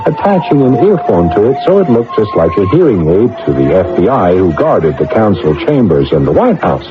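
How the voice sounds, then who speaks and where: An excitable, swooping anxious government man. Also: on the low side, normal speed. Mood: wry narration. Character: anxious, flustered male government adviser bluffing through his job. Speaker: protagonist and narrator. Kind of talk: radio drama acting and first-person narration. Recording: muffled old radio broadcast with music.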